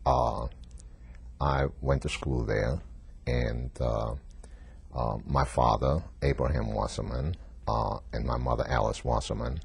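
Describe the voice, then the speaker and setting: An older man from Germany recounts his memories in a recorded oral history interview, pausing often as he reflects. His voice sits extremely low, with a rough, gravelly texture and a husky, breathy edge. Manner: halting, reflective storytelling with frequent pauses. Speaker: Holocaust survivor giving testimony.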